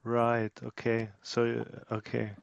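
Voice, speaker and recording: A man's voice: medium pitch, monotone, slow pace, creaky, clear, resonant tone, dry recording. A man hosting an online audio chat, bringing people on stage in an easygoing way, heard on dull, dry call audio. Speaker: host.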